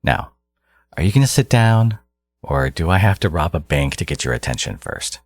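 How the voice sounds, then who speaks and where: A man playing a menacing, condescending genius supervillain in an audio roleplay, exasperated and obsessive yet caring, with a low, gravelly, husky voice. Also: very animated, normal speed, no room sound, exaggerated voice. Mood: stern, irritated. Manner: condescending, menacing, theatrical, slipping into whispers. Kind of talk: dramatic second-person audio roleplay monologue.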